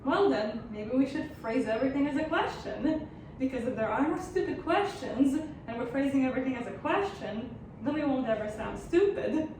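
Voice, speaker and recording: A woman in her thirties, a former postdoc, co-hosting a science lesson with dry, deadpan humor. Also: high-pitched, normal speed, a little hiss or hum. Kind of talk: casual educational chat. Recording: echoey room.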